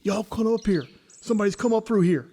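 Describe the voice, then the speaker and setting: An actor playing an alert, wary country hunter in a radio drama. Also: male voice, sits high, fairly quick. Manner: hushed, urgent, folksy.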